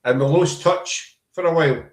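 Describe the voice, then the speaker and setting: A man's voice: fairly high pitch, moderate pace. A man with a Glaswegian Scottish accent, hosting a casual chat and telling rambling anecdotes. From Scotland. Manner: chatty, rambling anecdotes with many fillers. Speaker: podcast host. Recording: echoey room.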